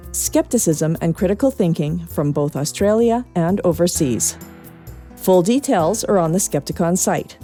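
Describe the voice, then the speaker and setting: A Canadian woman reading scripted newsletter highlights as a podcast news reader. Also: warm tone.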